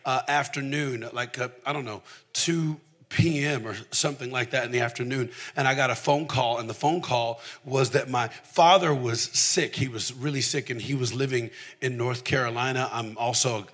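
A male preacher from the southern United States with a bright, forceful voice in a middle register. He speaks conversationally and candidly, building personal testimony with repeated, emphatic phrases. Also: medium pitch, fairly even intonation, moderate pace. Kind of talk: conversational sermon with personal storytelling.

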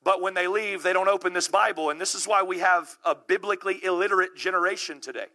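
A man in his thirties preaches with fervor, pushing up into shouts and calling out to the congregation. His voice sits high for a man. It has a very bright, ringing tone. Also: quick pace. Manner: impassioned, builds to shouting; calls out to the congregation. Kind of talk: sermon.

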